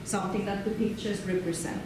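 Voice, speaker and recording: A middle-aged woman from Finland with a Finnish accent, giving a relaxed, chatty conference lecture with frequent fillers. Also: mid-range voice, fast. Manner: relaxed, chatty, laughs often, many fillers. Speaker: guest lecturer. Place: large lecture hall. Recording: echoey hall, far from the mic, room noise.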